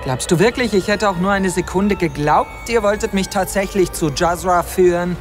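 A woman's voice: fairly low pitch, moderate pace, close to an everyday voice. An actress playing a scheming, ruthless female crime boss in an audio drama, gloating and commanding. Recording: music bed under voice.

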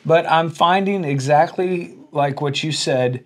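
A man's voice: a little high, measured pace. A man in his forties, a guest angler who presents a fishing show, explaining things in casual interview chat. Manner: explanatory, conversational. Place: indoor office-like room. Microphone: clip-on wireless mic.

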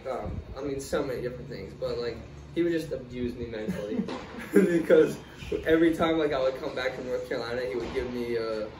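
A young man chatting easily about his past, loose and unhurried with lots of fillers. He sits back from the camera in a small bare room, so the sound is echoey and distant with steady hiss underneath. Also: medium pitch. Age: young adult. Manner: relaxed, many fillers like "like" and "um". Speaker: guest, tennis player. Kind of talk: casual interview answers. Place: small room, in doors, seated in armchair. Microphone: far camera. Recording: roomy, distant, noisy.

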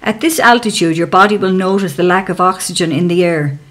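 A woman giving calm, measured, scripted narration for a travel documentary as a voice-over. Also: on the low side.

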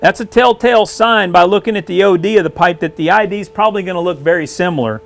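A middle-aged man, a weld test supervisor, explaining a visual weld inspection in a shop and often clearing his throat. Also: high-pitched, fast. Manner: frequent throat clearing, plain-spoken explaining. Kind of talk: instructional demonstration. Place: indoor welding shop.

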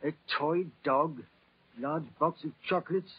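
A man's voice: fairly high pitch, measured pace, husky. An actor playing an anxious, eager English nobleman in 1940s radio style. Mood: startled. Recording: muffled old radio recording.

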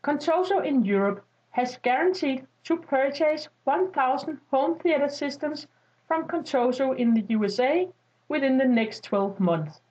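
A woman reading a scripted step-by-step software tutorial as a voice-over, in an instructional style. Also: sits high, animated, a little slow. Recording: dull lo-fi voice-over.

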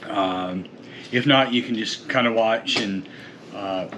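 A man's voice: lowish voice, slow, noisy background. A middle-aged man hosting a casual home cooking vlog, chatting through the steps and talking to viewers as folks. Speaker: cooking show host. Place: home kitchen and dining room, indoors. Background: cutlery and dishes clinking.

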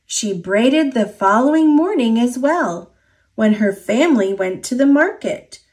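American woman, children's storybook narrator, lively intonation, unhurried pace, expressive read-aloud. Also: on the high side.

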